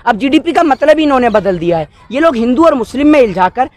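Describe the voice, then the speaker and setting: A man's voice: very high-pitched, very animated, fast, darkish tone, dry recording. A man, arguing indignantly in rhetorical questions during an outdoor street interview. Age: 20s. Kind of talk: impassioned street interview on politics. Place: outdoors by a wall. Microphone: cluster of handheld news microphones held close.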